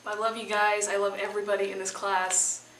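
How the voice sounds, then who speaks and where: A woman's voice: medium pitch, flat delivery, a little fast, a bit bright. A teenage girl gushing emotionally in a casual interview, close to tears. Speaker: high school student actor. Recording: echoey noisy room, distant mic.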